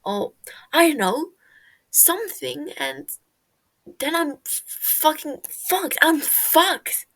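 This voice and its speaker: A woman's voice: high-pitched, very animated, slow, fairly bright tone, voice pushed up, full character voice, rough recording. A woman voicing a brash, foul-mouthed sixteen-year-old boy in an audio story, blustering and tripping over words. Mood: panicked. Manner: swearing, blustering, stumbling over words in agitation.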